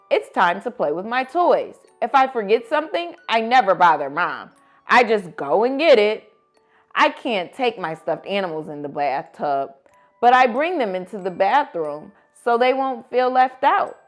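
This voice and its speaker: A woman reading picture books aloud to children as host, with expressive, dramatic emphasis. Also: on the high side, a little slow, faint music behind. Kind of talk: animated storybook read-aloud for children.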